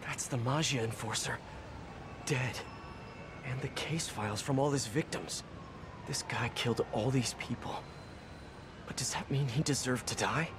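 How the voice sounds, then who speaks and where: A man's voice: mid-range voice, a little slow, pauses often, noisy background, close to an everyday voice. An actor playing an earnest young male superhero who often talks to himself with concern in a video game cutscene. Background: game score music.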